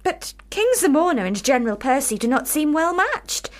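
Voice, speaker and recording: A woman's voice: high voice, sing-song, normal speed. A woman in a radio play playing a precocious, earnest young girl with a prim, formal, childlike way of talking. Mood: persuasive. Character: young Charlotte Bronte, precocious earnest girl, older sister. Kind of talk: radio drama acting.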